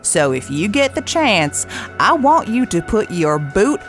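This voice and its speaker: An actress playing a tough, folksy older park warden who talks in a salty, drawling way. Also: female voice, mid-range voice, big pitch swings, a little slow, faint music behind. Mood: fierce. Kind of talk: audio drama acting.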